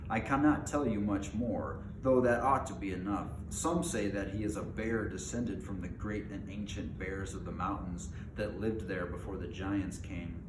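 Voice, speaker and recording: A man's voice: lowish voice, close to an everyday voice. Man reading as a stern old wizard, echoey room. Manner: stern, emphatic, lecturing. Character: crotchety, commanding old male wizard. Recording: roomy echo, clear background noise.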